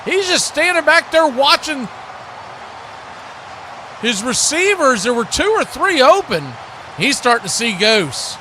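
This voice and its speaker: High-pitched man calling football play-by-play. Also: very animated, a little slow, long pauses, sharp tone. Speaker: sports play-by-play announcer. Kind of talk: live football play-by-play commentary. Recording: clearly noisy live stream audio.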